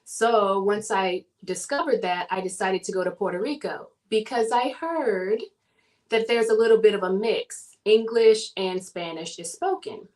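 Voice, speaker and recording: A woman from the southern United States chats as an interview guest. Her pitch sits in an ordinary middle range. She tells her story with animation and laughs often. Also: measured pace, a little mellow. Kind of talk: casual interview chat.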